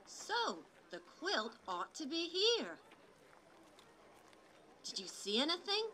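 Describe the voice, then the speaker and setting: A woman voicing an excitable little girl adventurer in a live puppet show, exclamatory and sing-song. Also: extremely high pitch, slow pace, full character voice. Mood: perky. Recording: faint outdoor background noise.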